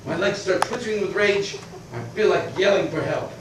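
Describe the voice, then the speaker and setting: An actor playing an irascible middle-aged landowner who rants and blusters, swinging between shouting and grumbling. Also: male voice, sits high, moderate pace, heavy reverb, far from the mic, a little hiss or hum, natural voice, rough recording. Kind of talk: theatrical stage acting.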